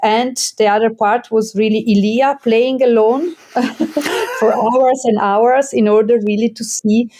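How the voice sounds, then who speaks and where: Mellow-voiced woman explaining calmly. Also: on the high side, measured pace. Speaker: theater maker, guest. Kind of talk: conversational interview.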